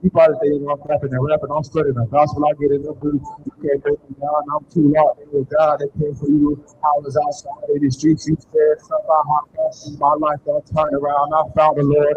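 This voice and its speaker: A man's voice: on the high side, darkish tone, dull audio, slight background noise. A young man with a Southern American accent performing gospel rap at a live church event, rhythmic with call-and-response. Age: young adult. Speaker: gospel rapper.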